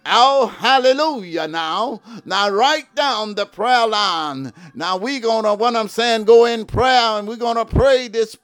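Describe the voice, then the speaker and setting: A man delivers an impassioned gospel sermon in a high voice that rises and falls widely. He drags every phrase out slowly, shouting some and half-singing others. The sound is dry and close, with faint music underneath. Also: animated, smooth, no echo. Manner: impassioned, shouting and half-singing phrases, repeats "what I'm saying" and "oh my God". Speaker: preacher. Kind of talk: fervent gospel sermon with prayer line.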